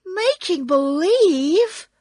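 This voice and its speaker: A woman's voice: high voice, sing-song, slow pace, bright, forceful tone, dry recording, clean studio sound, voice pushed up, heavily acted. A woman voicing an earnest, indignant young girl who exclaims and insists, in a storybook reading. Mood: astonished.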